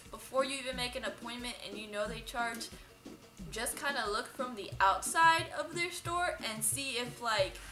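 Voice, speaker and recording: A woman's voice: on the high side, sharp tone. A young adult woman chatting informally as she gives explanatory advice as a vlog host. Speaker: vlog host, boutique worker. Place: indoor dress shop. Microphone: camera a few metres away. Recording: roomy sound from camera distance.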